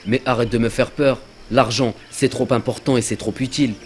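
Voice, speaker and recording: A man's voice: mid-range voice, fairly even intonation, fairly quick, slight background noise, natural voice. A man voicing a greedy, cowardly traveling companion in a religious cartoon, given to sly muttered asides and jittery protests. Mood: curious, wheedling. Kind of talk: dubbed religious cartoon acting. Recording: music under the voice.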